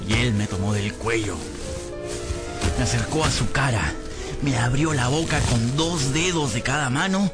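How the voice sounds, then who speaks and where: A man's voice: medium pitch, normal speed, close to an everyday voice. An actor playing a poor, hungry servant boy who tells his misfortunes in a plaintive, theatrical way for a radio drama. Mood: rueful storytelling. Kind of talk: dramatic first-person radio narration. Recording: music bed behind voice.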